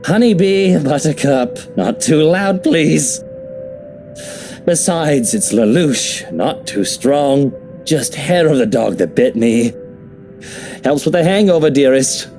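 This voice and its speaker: Swooping, languid, mellow dandy husband. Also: male voice, a little high, animated, slow pace, faint music behind. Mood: cajoling. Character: languid, hungover, drink-loving husband, sly and needling. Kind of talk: visual novel voice acting.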